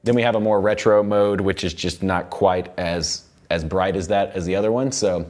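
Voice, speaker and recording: A young man explaining music software in a casual, loosely worded tutorial style, repeating words as he goes. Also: low-pitched, fairly even intonation, normal speed. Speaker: music production instructor. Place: recording studio control room.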